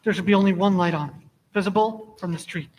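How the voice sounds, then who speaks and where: Radio play: actress as murder plotter, cool, terse, measured, mid-pitched. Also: female voice, darkish tone, close to an everyday voice. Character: cool, controlled go-between arranging a murder. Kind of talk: stage radio play acting.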